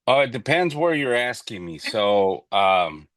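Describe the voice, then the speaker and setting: A Mexican American man from Southern California talks with a guest's easy humor, tossing in Spanish phrases as he jokes. His voice sits fairly low and sounds close and dry. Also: big pitch swings, unhurried, fairly bright tone, dry recording. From El Monte, California. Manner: joking, playful, drops Spanish phrases. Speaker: podcast guest. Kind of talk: casual chat.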